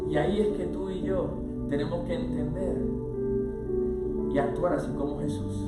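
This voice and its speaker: High-voiced preacher in an echoey hall. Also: male voice, fairly even intonation, normal speed, distant mic. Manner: preaching with swells into shouted, sung-out phrases. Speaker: pastor. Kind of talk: sermon. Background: music underneath.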